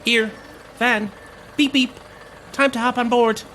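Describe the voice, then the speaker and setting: A man's voice: extremely high pitch, fairly even intonation, slow, bright, forceful tone, exaggerated voice. An actor playing a brusque, cheeky coach man chivvying passengers in an audio drama. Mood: impatient, teasing. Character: brusque, cheeky male coach driver hurrying passengers aboard. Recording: faint idling engine noise.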